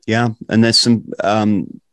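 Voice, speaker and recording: A middle-aged British man hosting an interview podcast in a halting, conversational way, often repeating words. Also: lowish voice, level tone, a bit bright, dry recording. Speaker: podcast host. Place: small room at home, video call. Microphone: close podcast microphone.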